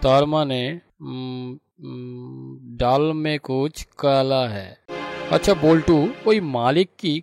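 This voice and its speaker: A man voicing a cartoon detective officer who questions and gives orders with calm authority. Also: medium pitch, slow pace, creaky, over music. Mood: calm, probing. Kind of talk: cartoon voice acting in Bengali.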